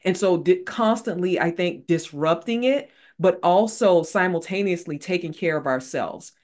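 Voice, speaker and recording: A woman's voice: on the low side, normal speed, darkish tone. A woman author speaking as a guest in a casual interview, earnest and repeating phrases for emphasis.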